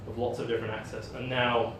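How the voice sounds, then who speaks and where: A man's voice: medium pitch, level tone, quick pace. Rambling English expat man, echoey room. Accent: English expat, mixed colonial. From England. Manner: rambling, many fillers, laughs often. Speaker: game designer guest. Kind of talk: casual interview chat. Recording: echoey room, distant mic, faint noise.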